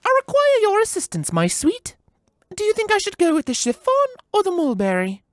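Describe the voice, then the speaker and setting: Fussy posh pony lady, squeaky sing-song voice, guy reading does her. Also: wildly swooping pitch, a little fast, no echo, full character voice. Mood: theatrical. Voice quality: high falsetto, dramatic and affected. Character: prim theatrical mare, noblewoman fashionista.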